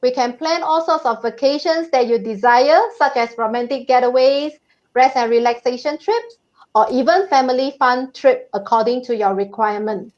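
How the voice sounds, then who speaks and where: A cheerful woman co-hosts a travel livestream in a high voice. Her chat is bubbly and full of laughs, and the audio is dull and lo-fi. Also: normal speed, darkish tone. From Singapore. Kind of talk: casual live-stream chat.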